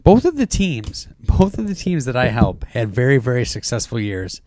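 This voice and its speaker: A man's voice: medium pitch, big pitch swings, normal speed, a little mellow. A man co-hosting a show and coaching, speaking with wry, joking commentary. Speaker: co-host and robotics coach. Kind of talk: casual podcast talk.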